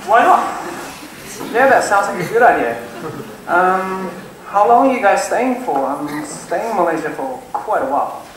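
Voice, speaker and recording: A man's voice: sits high, animated, normal speed, a bit bright, recorded from a distance, slight background noise. Young man in a very echoey hall. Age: young adult. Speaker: student actor in a stage skit. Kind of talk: amateur stage play dialogue. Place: large stage hall. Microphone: far stage microphones.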